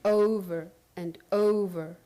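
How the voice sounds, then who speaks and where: A woman's voice: mid-range voice, fairly even intonation, slow, warm tone, natural voice. An actress playing an obsessed, frantic woman hospital patient who tells her strange story in a radio play, intense and theatrical. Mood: haunted, weary. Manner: intense, haunted, urgent, theatrical. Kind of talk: radio play acting, first-person dramatic narration.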